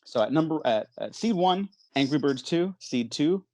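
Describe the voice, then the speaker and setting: A man's voice: on the high side, expressive, normal speed, dark tone, dry recording. Chatty, rambling male podcast host. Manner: chatty, rambling, enthusiastic, chuckles while talking. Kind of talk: casual movie podcast chat.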